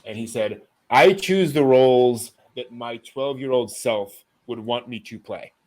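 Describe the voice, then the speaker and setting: A man's voice: mid-range voice, unhurried, darkish tone, poor audio. A man hosting a casual discussion of entertainment news, talking informally to others. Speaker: host.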